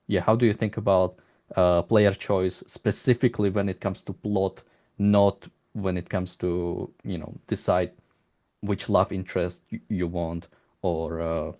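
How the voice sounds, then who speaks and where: A man interviewing a developer in a conversational style, with halting, hesitant phrasing. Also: low voice, level tone, darkish tone.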